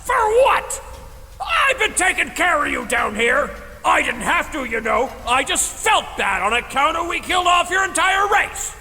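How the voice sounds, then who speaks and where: A reckless, cocky adventurer, very high and forceful, hollering with bombast. He is hearty and a bit dim, and his lively voice rings through long reverb. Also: normal speed, a little hiss or hum, full character voice. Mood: defensive, alarmed. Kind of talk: audio drama acting. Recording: long cavernous reverb, music underneath.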